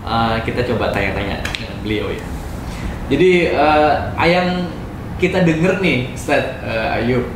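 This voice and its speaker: A man's voice: mid-range voice, lively intonation, unhurried. A man hosting a casual interview at an Islamic boarding school, hesitating often with fillers. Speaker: host. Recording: echoey room with noise.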